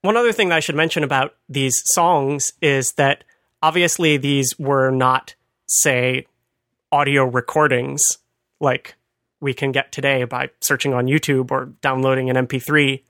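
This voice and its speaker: A man's voice: medium pitch, sharp tone, no echo, clean studio sound. A man hosting a podcast, explaining things in a casual, chatty way and laughing at his own asides. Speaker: podcast host.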